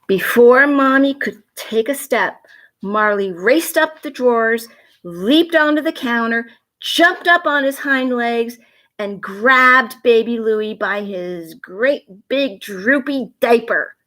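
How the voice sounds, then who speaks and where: A woman with a slightly high voice reads a bedtime story to young children at a very unhurried pace. She steps out of the text often to ask simple, emphatic questions like a teacher. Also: a bit bright. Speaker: storyteller reading a picture book to children. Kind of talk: read-aloud bedtime story with asides and questions to young viewers.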